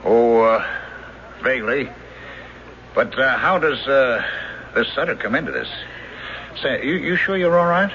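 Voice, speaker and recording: An actor playing a helpful, matter-of-fact police lieutenant with a folksy manner in a 1970s radio drama. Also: male voice, medium pitch, lively intonation, measured pace, long pauses. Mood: puzzled. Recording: muffled, hissy 1970s radio recording.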